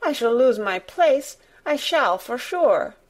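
A woman's voice: fairly high pitch, sing-song, takes it slowly, pitched above the performer's own voice. A woman voicing an agitated, plain-spoken young housemaid, exclaiming in dialect as in a storybook. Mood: anxious.

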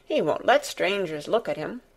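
An excitable young maid from a storybook, acted by a woman narrator. She is plain-spoken and superstitious, given to breathless exclamations in broad dialect. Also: fairly low pitch, moderate pace. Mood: amazed.

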